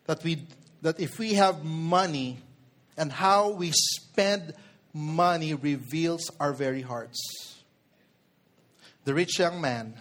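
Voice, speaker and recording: A man in his forties with a Filipino accent, delivering an emphatic sermon and putting questions to his listeners. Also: fairly high pitch. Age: 40s. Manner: code-switches between English and Tagalog. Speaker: preacher.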